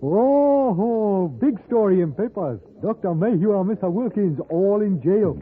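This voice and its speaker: An old, narrow radio western recording carries an excitable porter. The voice is pitched high and leaps about, scolding and fretting in broken, English. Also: male voice, unhurried. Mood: excited. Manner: broken English, exclaiming, fretful. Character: excitable, scolding Chinese hotel porter, loyal and worried.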